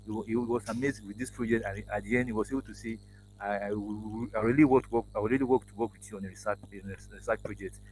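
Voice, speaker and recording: Halting man on a noisy call. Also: on the low side, fairly even intonation, darkish tone. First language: Yoruba. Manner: halting, many restarts and fillers. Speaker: AI engineer guest. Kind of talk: casual interview over video call. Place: indoor office room. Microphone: video call laptop mic. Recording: noisy video call audio.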